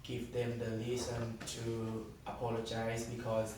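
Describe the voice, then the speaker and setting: A male student answering in class, halting and searching for words. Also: medium pitch, monotone. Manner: halting, searches for words, non-native phrasing. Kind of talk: class discussion. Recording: echoey classroom from far off, with hiss.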